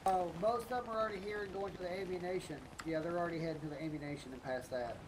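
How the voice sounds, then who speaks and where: A man chattering excitably while playing a video game, often repeating his exclamations. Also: sits high, fast. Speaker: gamer. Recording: loud gunfire and game noise behind. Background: video game gunfire.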